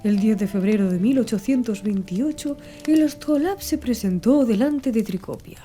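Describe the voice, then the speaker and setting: A woman narrating a literary audiobook in a measured, formal reading style. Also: on the high side, dark tone, faint music behind.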